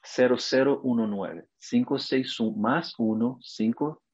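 A man's voice: mid-range voice, a little slow, a little mellow, lo-fi sound. Earnest Brazilian man speaking Spanish. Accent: Brazilian Portuguese. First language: Portuguese. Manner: earnest, motivational, speaks in short emphatic phrases. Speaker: naturopath guest. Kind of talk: video interview.

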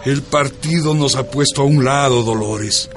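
An actor playing an earnest, aggrieved veteran doctor and party comrade in a radio drama, speaking emphatically with an Ecuadorian accent. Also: male voice, medium pitch, measured pace, music in the background, natural voice. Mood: bitter.

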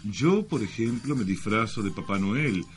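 A man's voice: on the low side, lively intonation, normal speed, soft background music. A man with an Argentine accent co-hosting a radio show, trading teasing, mock-formal banter. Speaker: radio co-host. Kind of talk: bantering comic radio talk with mock-solemn lines.

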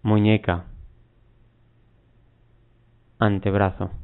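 A man guiding a mindfulness body scan, giving unhurried instructions in short phrases with long pauses. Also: fairly low pitch, flat delivery, gravelly, clean tone. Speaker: meditation guide. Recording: muffled, phone-like narrow band.

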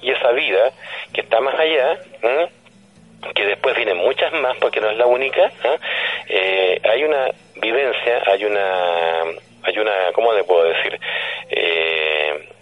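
A man with a Chilean accent explaining things conversationally as a radio guest, didactic and halting, often checking that he is being followed. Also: fairly low pitch. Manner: didactic, halting, frequently seeks confirmation. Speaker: radio guest on paranormal topics. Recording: dull, band-limited phone or radio line.

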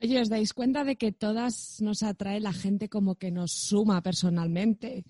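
A young woman with a Castilian accent thinks out loud in a casual chat. Her mid-pitched voice is captured close and dry, and her talk is blunt, slangy and reflective. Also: fairly even intonation, a little slow, no room sound. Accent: Castilian Spanish. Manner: frank, colloquial, reflective.

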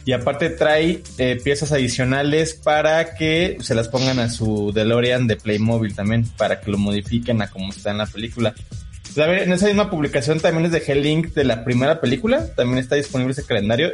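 A man's voice: medium pitch, fairly quick. A man with a Mexican accent chatting casually as a show co-host, explaining products in a livestream style. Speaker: show co-host presenting collectibles. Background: background music.

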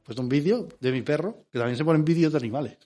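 A man with a Spanish accent from Spain chats as the comic regular on a radio show. His voice sits a little high for a man. He is theatrical and jokey, often repeating his words for emphasis. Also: rapid-fire, mellow. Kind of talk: joking radio chat.

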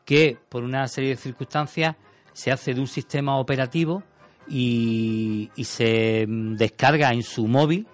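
A man's voice: mid-range voice, steady tone, a little slow, soft background music. A man on a radio show talking about a film in a thoughtful, rambling way, with many restarts. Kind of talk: casual radio chat. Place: radio studio.

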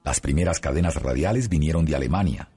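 A man's voice: extremely low pitch, fast, warm tone, breathy edge. A man reading scripted documentary narration.

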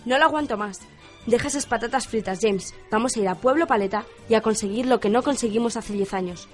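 Lady doing a bossy melodramatic villainess, highish voice, bitter and vain, radio comedy w/ music. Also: fairly quick, lightly acted. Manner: melodramatic, commanding. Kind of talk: comic radio play acting.

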